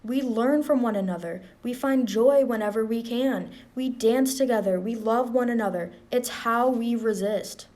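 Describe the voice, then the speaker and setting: A young woman reading a book aloud to students in a calm, encouraging voice, then talking through a class activity in a quick, chatty way. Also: fairly high pitch. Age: young adult. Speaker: student reader.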